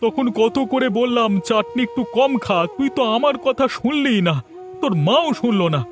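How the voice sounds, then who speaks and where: A man's voice: extremely high pitch, moderate pace, faint music behind. A man voicing an exasperated but indulgent young boy's father in a Bangla cartoon.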